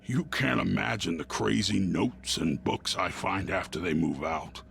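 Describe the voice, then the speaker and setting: A man's voice: sits low, measured pace, fairly bright tone, exaggerated voice. An actor playing a grumpy, suspicious male innkeeper who scolds his guest with contempt. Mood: grumbling. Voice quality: gravelly. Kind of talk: video game cutscene acting.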